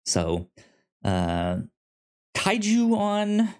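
A man's voice: high-pitched, wildly swooping pitch, very slow, a little mellow, clean tone. A man chatting casually as a podcast co-host, often stammering and repeating sounds and the starts of words. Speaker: podcast co-host pitching films and comics.

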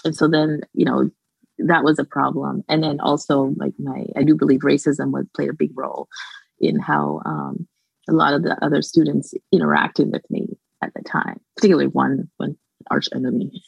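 Guest academic, female, Canadian with a slight Jamaican accent; low and gravelly, rambles with fillers and quick laughs. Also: flat delivery, a little mellow, no room sound, dull audio. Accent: Canadian, light Jamaican. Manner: rambling, many restarts and fillers, frequent laughs. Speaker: guest scholar. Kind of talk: casual interview conversation.

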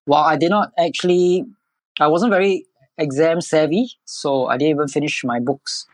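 Male podcast guest, Singaporean accent. Conversational, unhurried phrasing with hesitations, very little room sound. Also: on the high side, no echo. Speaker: podcast guest, environmental educator. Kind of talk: conversational interview answers.

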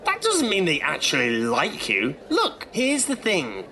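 An actor playing a blunt, mocking British ghost in a comic audio drama, with theatrical delivery and quaint slang. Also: male voice, a little high, wildly swooping pitch, a little slow, sharp tone, heavily acted. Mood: blunt, scolding. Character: cheeky, blunt British Christmas ghost man.

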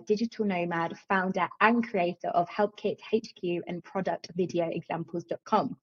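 A woman's voice: medium pitch, darkish tone. A woman hosting a podcast, introducing the show and interviewing in a conversational way over slightly lo-fi sound. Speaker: podcast host. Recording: dull, slightly lo-fi, slightly husky sound.

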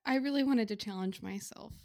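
A woman's voice: on the high side, a little fast, creaky, darkish tone. A woman co-hosting a casual comedy podcast, talking tentatively as she thinks aloud. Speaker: podcast co-host.

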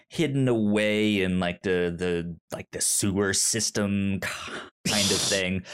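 A man hosting a casual book discussion, halting and rambling, with a stutter that repeats sounds and a self-deprecating manner. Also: low-pitched, clear, resonant tone. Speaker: podcast host.

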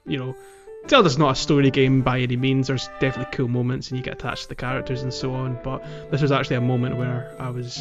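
A man co-hosting a podcast, chatting informally and haltingly as he recaps a video game. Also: medium pitch, flat delivery. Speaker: podcast co-host. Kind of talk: casual video game playthrough chat and recap. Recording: slightly dull sound, faint music.

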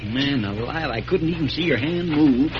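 An actor playing a folksy, deferential marshal's helper in an old radio western. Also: male voice, fairly high pitch, expressive, moderate pace, music in the background, lightly acted. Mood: amazed. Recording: noisy, muffled vintage radio recording.

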